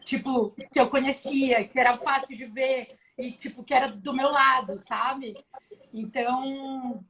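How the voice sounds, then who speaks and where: Woman, high voice, relaxed explaining, live video chat, faint hiss. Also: a little slow, rough recording. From Brazil, Belém. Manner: relaxed, explanatory, frequent restarts. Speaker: guest, tech professional. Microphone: video call.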